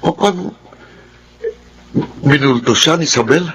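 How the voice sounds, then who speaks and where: A man's voice: a little high, animated, unhurried, a little hiss or hum, rough recording. A man with a Filipino accent playing a skeptical, defensive young man in a radio drama, earnest and questioning. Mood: doubtful. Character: skeptical young man, defensive about his former girlfriend.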